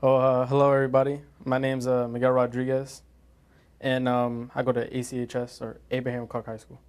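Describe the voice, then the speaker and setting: Flat-voiced teen boy, hesitant. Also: mid-range voice, fairly quick, clean tone. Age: teens. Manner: hesitant, many "uh" and "um". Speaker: guest, student actor. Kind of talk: casual interview answers.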